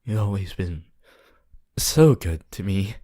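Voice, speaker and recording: Slow, breathy, possessive yandere lover, acted out. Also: low voice, expressive, heavily acted. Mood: soft, coaxing. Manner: slow, drawn-out, intimate, swelling from whispers to loud outbursts. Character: possessive, unhinged yandere lover, swinging between tender and manic. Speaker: voice actor. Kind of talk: ASMR roleplay acting.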